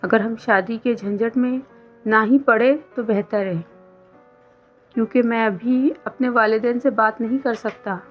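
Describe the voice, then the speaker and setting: A woman voicing an insistent, smooth-talking, evasive young college boyfriend in a storybook romance. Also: a little high, fairly even intonation, unhurried. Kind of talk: scripted story dialogue. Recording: dull lo-fi sound under music.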